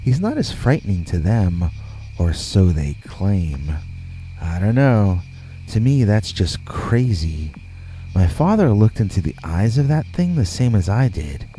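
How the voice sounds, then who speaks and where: Guy reading a creepy story, dark mellow voice, real slow and deliberate, bit of background noise. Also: low-pitched, rough recording. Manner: measured, deliberate phrasing with pauses. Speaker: horror story narrator. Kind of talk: scripted first-person scary story narration.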